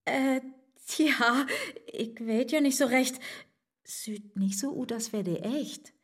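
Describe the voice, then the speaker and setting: Coy, high-voiced Low German young woman. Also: takes it slowly, breathy edge. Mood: charmed, hesitant. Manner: musing, coy, murmuring asides. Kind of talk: rhymed radio play dialogue.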